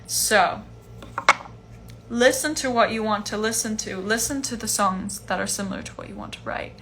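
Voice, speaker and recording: A young woman in her twenties answering fan questions in a casual livestream from home, relaxed and chatty with lots of fillers. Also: medium pitch, normal speed, a little hiss or hum. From Iceland. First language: Chinese. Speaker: musician hosting a livestream Q&A. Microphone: phone livestream.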